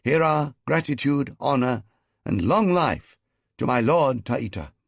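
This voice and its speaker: A man voicing an ancient Egyptian pharaoh who makes grand, ceremonious proclamations in a strong, clear, carrying voice. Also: medium pitch, animated, unhurried, no room sound, studio quality, pitched above the performer's own voice. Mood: regal. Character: commanding male Egyptian pharaoh, regal ruler.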